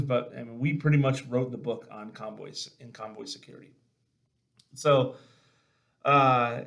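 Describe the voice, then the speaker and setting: A man hosting a casual video chat, telling military stories in a blunt, salty, animated way and acting out the dialogue. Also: mid-range voice. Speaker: podcast host and army veteran.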